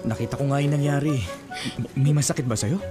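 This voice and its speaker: A helpful young Filipino man acted by a man with a mid-range voice, in a radio drama. He speaks earnestly and gently, with slight hesitation. Music plays clearly underneath. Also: medium pitch, fairly quick, close to an everyday voice.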